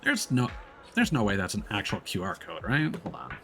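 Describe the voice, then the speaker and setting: A man live streaming in casual chat, talking in a chatty, hesitant way with trailing pauses. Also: lowish voice, wildly swooping pitch. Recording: music playing behind the voice. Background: music.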